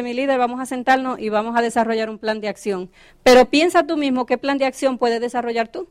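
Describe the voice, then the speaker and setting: A woman's voice: a little high, dry recording. A woman giving a motivational business training talk, often checking in with her audience. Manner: coaching, asks the audience questions.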